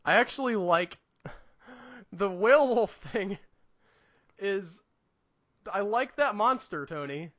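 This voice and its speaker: Bright, high-pitched man chatting casually. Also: animated, slow, pauses often, dull audio. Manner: wry, opinionated, mildly sweary. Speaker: podcast co-host reviewing movies. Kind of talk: casual chat.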